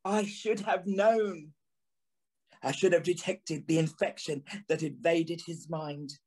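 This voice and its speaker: A man's voice: on the high side, fairly even intonation, slow pace, darkish tone. A man playing a haunted, remorseful journalist, narrating an audio play with brooding, theatrical phrasing. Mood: anguished regret. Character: haunted, remorseful journalist recalling an eerie encounter. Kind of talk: dramatic first-person audio play narration.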